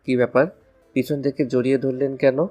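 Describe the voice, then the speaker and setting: A man's voice: mid-range voice, flat delivery, fairly quick, warm tone, faint music behind, close to an everyday voice. A man playing a sulky, proud young college student in a romantic audio drama, curt and aggrieved. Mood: annoyed. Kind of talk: romantic audio story acting.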